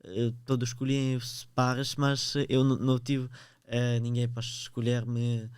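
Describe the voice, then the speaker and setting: A man's voice: medium pitch, little pitch movement, unhurried, darkish tone, clean tone, dry recording, studio quality. A teenage boy from Ukraine with a Ukrainian accent, a podcast guest answering hesitantly and searching for words in a language that is not his own. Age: teens. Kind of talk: podcast interview.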